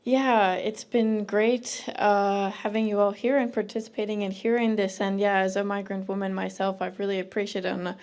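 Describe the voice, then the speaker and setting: Female co-host, flat gravelly voice, slow reflective closing remarks. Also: medium pitch.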